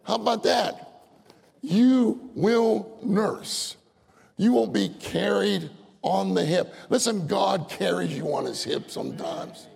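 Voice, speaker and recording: Older male preacher, high gravelly husky voice, folksy storyteller, echoing hall. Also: takes it slowly, a little mellow. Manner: folksy storytelling, laughs often, acts out voices. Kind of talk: sermon. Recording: long hall reverb.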